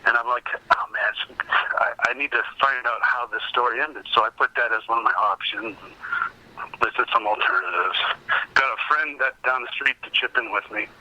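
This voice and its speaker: Male podcast guest, fifties, piercingly bright delivery, chatty animated storyteller, slightly muffled lo-fi call audio. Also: mid-range voice, normal speed. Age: 50s. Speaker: podcast guest, comic collector. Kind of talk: casual anecdotal interview chat.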